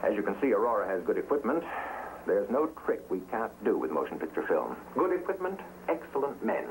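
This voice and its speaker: An actor playing a suave, exacting boss of a shady front company in a 1950s TV drama, speaking in a deliberate, declamatory way. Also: male voice, lowish voice, sing-song. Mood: smug, commanding. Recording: noisy old 1950s TV soundtrack.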